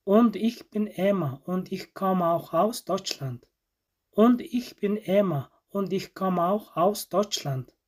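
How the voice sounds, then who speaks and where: A woman playing a friendly young German woman in a language-learning film, speaking clearly. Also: medium pitch, lively intonation, slow, a little mellow.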